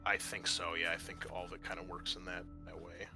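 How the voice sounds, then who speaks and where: A man's voice: deep voice, flat delivery, fairly quick, gravelly, over music. A man narrating a tabletop roleplaying game as game master in a hesitant, conversational way.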